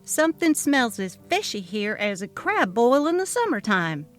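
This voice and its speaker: A woman's voice: a little high, very animated, normal speed, faint music behind. A woman voicing a gossipy, opinionated female coworker, sarcastic and confiding, in a dramatically read story. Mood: suspicious. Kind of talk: dramatic reading of a text-message story.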